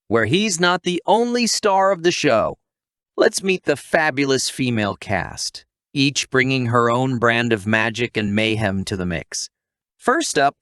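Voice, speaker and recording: Male narrator for comedic recaps: wry and playful, animated intonation, mid-range pitch, close dry recording. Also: dry recording. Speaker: narrator of anime recap videos.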